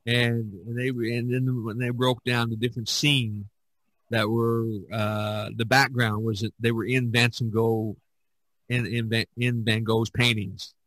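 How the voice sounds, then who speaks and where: A man hosting a podcast in casual chat, rambling and halting, often repeating his words. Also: mid-range voice, little pitch movement, a bit bright, no echo, low-quality recording. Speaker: podcast host.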